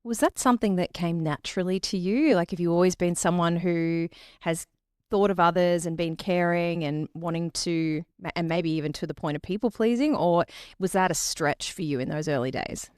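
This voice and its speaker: A woman hosts a podcast interview, drawing her guest out in an encouraging way with soft murmurs of agreement. Her voice is lower than most women's. The sound is close and dry, with no room echo. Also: normal speed, clear, resonant tone. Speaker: podcast host. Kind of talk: interview host.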